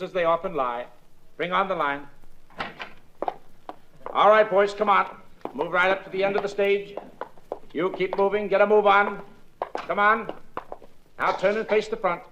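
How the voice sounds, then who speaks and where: An actor playing a formal, commanding police sergeant running a lineup in a 1950s radio drama. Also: male voice, high-pitched, fairly bright tone, slight background noise. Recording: lo-fi old radio broadcast.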